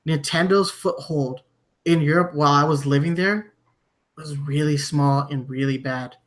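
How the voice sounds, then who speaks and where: Halting, higher-pitched man hosting a podcast. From Germany. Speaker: podcast host. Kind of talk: conversational news discussion.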